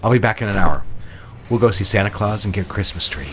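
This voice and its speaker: An actor playing a suave, secretive fiancé in an intimate audio drama, coaxing and full of endearments. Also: male voice, lowish voice, fast talker, fairly bright tone, a little hiss or hum, natural voice. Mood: guarded, tender. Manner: coaxing, endearment-laden speech. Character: suave, ardent male fiancé, sweet-talking and secretive.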